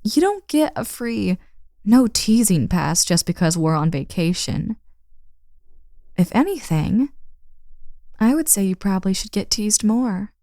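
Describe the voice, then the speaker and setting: A woman's voice: mid-range voice, sing-song, measured pace, pauses often, mellow, dry recording, studio quality. A woman playing a confident, teasing young woman in an intimate roleplay audio drama, speaking casually and playfully to the listener.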